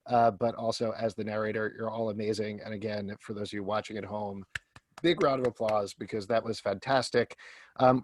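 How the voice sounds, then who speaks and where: A man's voice: mid-range voice, no room sound. Guy moderating an online panel, chatty, mid voice, very dry sound. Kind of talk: casual event hosting. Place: video call.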